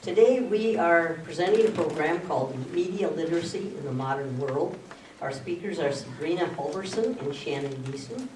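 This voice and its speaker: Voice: mid-range voice, expressive, moderate pace, darkish tone, distant mic. A moderator introducing two speakers at a community meeting in a plain, friendly way, with small joking asides. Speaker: moderator for the organizing group. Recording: echoey room audio recorded from afar, with noise.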